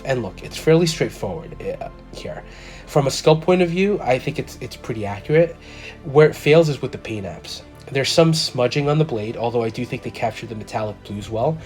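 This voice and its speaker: Guy with a bit of a cold doing a calm toy review, plain mid voice, faint music behind. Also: medium pitch. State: slightly congested from a cold. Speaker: toy collector channel host. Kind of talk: scripted product review voice-over.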